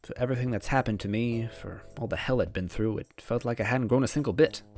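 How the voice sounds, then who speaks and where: A man's voice: mid-range voice, fast, darkish tone, natural voice. Guy doing a nervous unsure young man telling his own story, second-guessing himself, music under it. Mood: anxious, brooding. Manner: hesitant, wavering, second-guessing. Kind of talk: first-person storybook narration. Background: background music.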